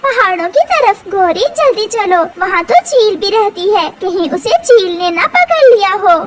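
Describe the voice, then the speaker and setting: A woman voicing a firm, caring mother sparrow in a cartoon, brisk and scolding. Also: extremely high pitch, sing-song, a loud crowd, full character voice.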